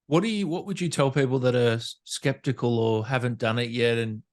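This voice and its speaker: A man's voice: medium pitch, fairly quick, a little mellow. A man hosting a casual interview, asking informal questions and chiming in with short agreeing replies. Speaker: interviewer, host.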